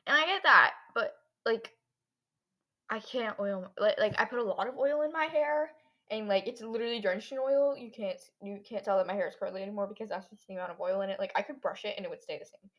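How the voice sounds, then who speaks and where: Teen girl with a fading, hoarse voice. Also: medium pitch, a little fast, lo-fi sound. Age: teens. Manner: chatty, rambling, many fillers. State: losing her voice, hoarse. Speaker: podcast host. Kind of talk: casual solo podcast chat.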